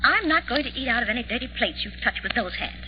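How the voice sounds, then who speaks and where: A woman's voice: on the high side, lively intonation, fast, natural voice. An actress playing an exasperated, nagging housewife and mother in a 1940s radio drama. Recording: dull, echoing, hissy old radio recording.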